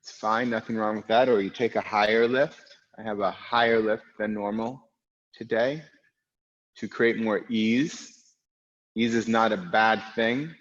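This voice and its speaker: A man guiding an online yoga class, with a loud, strong voice, calm and reflective, speaking in unhurried phrases with pauses. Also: lowish voice, dull audio. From United States. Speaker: yoga teacher. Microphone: recorded for an online class video.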